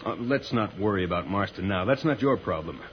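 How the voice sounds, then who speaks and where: A heroic space commander in a vintage radio serial. He is calm and authoritative, with a lively, moving pitch. The recording is muffled and noisy. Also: brisk. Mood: calm, explaining. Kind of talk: old-time radio serial acting.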